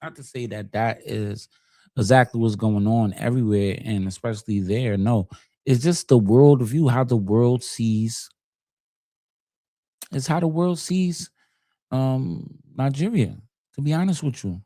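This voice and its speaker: A man riffs in loose, slangy commentary, circling back and repeating himself for emphasis. His voice is gravelly and dark in tone, and the sound is dry and close. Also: medium pitch, measured pace, no echo. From United States. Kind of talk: casual commentary.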